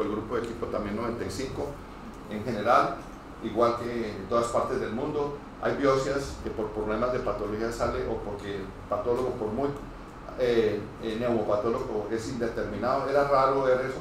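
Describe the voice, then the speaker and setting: A man from Cúcuta with a northeastern Colombian accent, giving an informal medical lecture as a pulmonologist, hurried and digressive with stammered false starts. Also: medium pitch, steady tone. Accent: northeastern Colombian Spanish. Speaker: pulmonologist teaching medical trainees. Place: echoey room, speaking to a group. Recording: very echoey room, distant mic, noisy.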